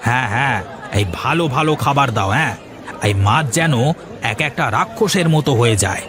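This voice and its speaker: A man's voice: mid-range voice, expressive, fairly quick, crowd noise, close to an everyday voice. A man voicing an anxious, eager village farmer husband in a moral-story cartoon, hesitant and repeating his agreeable words.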